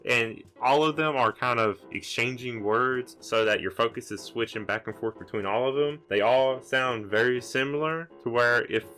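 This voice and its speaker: Male game master, mid-pitched and bright, improvising tabletop narration over soft music. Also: moderate pace, hardly any pauses. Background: background music.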